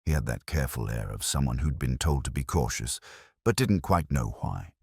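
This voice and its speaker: A man narrating a scripted first-person detective story as a weary, introspective investigator, in measured, brooding, literary short phrases. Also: a bass voice, big pitch swings, creaky, a little mellow, breathy edge, no room sound, studio quality.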